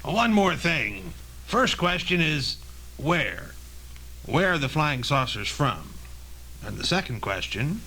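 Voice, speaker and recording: Guy doing a bossy army general in old radio drama, highish lively voice, insistent, noisy old tape. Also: breathy edge, full character voice. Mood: probing. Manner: formal, authoritative, brisk questioning. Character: commanding, insistent male military general.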